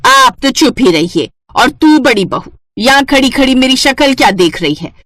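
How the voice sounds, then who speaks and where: A woman's voice: high voice, animated, normal speed, fairly bright tone, dry recording, clean studio sound. A woman voicing a scolding, old-fashioned mother-in-law in a family cartoon, nagging and disapproving. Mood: indignant scolding. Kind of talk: cartoon story voice acting.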